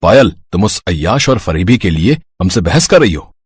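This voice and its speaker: A stern, principled father from a cartoon moral story, played by a man. His voice is fairly low and husky, with very little room sound. He speaks dramatically and reproachfully. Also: lively intonation, normal speed, dry recording, studio quality, exaggerated voice. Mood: stern, angry. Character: stern, principled working-class father of a grown daughter.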